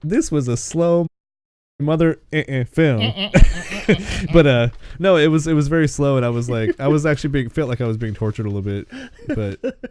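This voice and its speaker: A man chats casually as a film podcast co-host. His pitch moves around a lot as he jokes, and laughter slips into his talk. The sound is very dry, with little room on it. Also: normal speed, no echo. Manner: animated, jokey, laughs while talking. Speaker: podcast co-host reviewing horror films. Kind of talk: casual film review chat.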